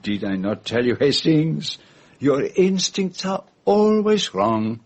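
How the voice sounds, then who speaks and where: Fussy, theatrical foreign detective, slightly husky. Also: male voice, fairly high pitch, sing-song, takes it slowly, exaggerated voice. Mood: grave. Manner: precise, theatrical, formal phrasing with French forms of address. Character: fussy, shrewd foreign gentleman detective explaining a crime. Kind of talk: radio detective drama acting.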